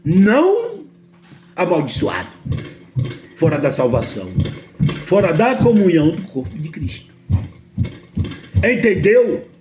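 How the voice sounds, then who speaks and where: A man's voice: high voice, wildly swooping pitch, slow, pauses often, a little mellow, soft background music. A man from Brazil with a Brazilian Portuguese accent, preaching a sermon emphatically and often asking his listeners whether they understand.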